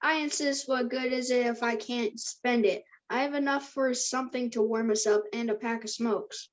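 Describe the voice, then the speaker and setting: Higher-voiced easygoing teenage boy played by a woman. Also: fairly even intonation, normal speed, lightly acted. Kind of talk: casual scripted dialogue at a table read.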